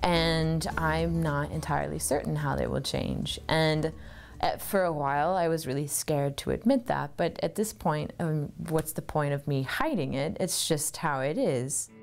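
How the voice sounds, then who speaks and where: A woman's voice: low voice, unhurried, vocal fry, faint music behind. A woman in her thirties, an artist, talking thoughtfully about her work in an interview, recorded on a lapel mic in her cluttered home studio. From United States.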